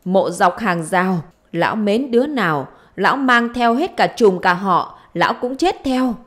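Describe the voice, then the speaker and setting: A woman's voice: medium pitch, animated, normal speed, close to an everyday voice. A woman voicing a spiteful, foul-mouthed middle-aged village wife in a story, scolding, wailing and taunting. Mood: cursing.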